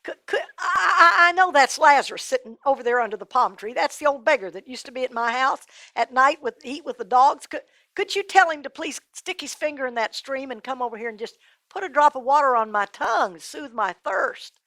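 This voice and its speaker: A woman's voice: high voice, animated, fairly quick, pushed and bright, dry recording, voice pushed up, exaggerated voice. A woman voicing a tormented rich man in a Bible story, pleading, indignant and stammering. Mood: pleading, anguished.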